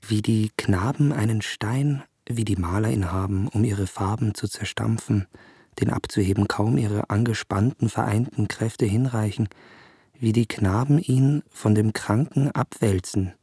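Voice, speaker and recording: An actor playing an earnest, observant young gentleman in a German radio drama, speaking his thoughts in measured, literary phrases. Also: lowish voice, slightly rough, no room sound, clean studio sound. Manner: measured, reflective, articulate. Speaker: lead actor.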